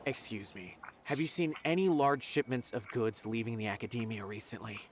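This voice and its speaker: A young adult man voicing an earnest game character who is quick to protest, speaking over soft game music. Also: mid-range voice, noisy background, lightly acted. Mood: questioning.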